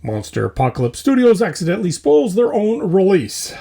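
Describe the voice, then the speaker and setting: Man reading mock news, very animated. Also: high-pitched, a little fast, vocal fry. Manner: theatrical mock-serious newscaster delivery. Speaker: host of a hobby news show. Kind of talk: scripted mock news narration.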